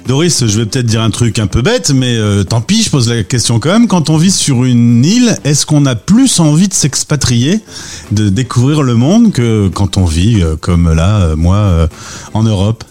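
A man's voice: medium pitch, animated, moderate pace, a little mellow, faint music behind. A man hosting a radio podcast from a studio, chatty and upbeat as he introduces his guest. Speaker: podcast host. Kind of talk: radio interview.

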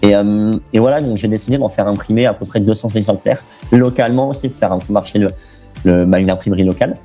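A young man from France talks easily in an interview, chatty and loose, full of little fillers and restarts. His voice sits fairly low with a mellow, soft tone. Faint music hums underneath. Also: fast talker. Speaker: guest. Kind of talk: casual interview talk.

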